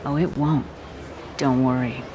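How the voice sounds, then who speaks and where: A performer playing a steady military commander, speaking calm and clipped. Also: mid-range voice, unhurried, noisy background, natural voice.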